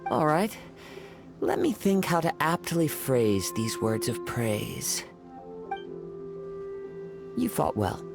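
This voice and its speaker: A man playing a calm, courteous young wandering poet and swordsman in a video game, speaking in a measured, formal, reserved way. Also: a little high, gravelly, music in the background, full character voice. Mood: reserved, approving.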